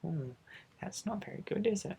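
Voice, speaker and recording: A man's voice: sits high, moderate pace, gravelly, a little hiss or hum. Man reading a children's picture book aloud. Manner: expressive, with gentle asides to young listeners. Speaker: storybook reader.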